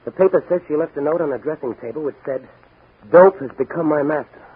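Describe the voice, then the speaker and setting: A brash young policeman in an old radio serial, itching for action. He talks quickly in a rough, husky voice, muffled by a thin 1940s recording. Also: fairly high pitch. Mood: grave, determined. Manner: eager, impatient, punchy delivery. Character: brash young rookie patrolman, secret masked crime fighter, eager for action. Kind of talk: 1940s radio drama acting.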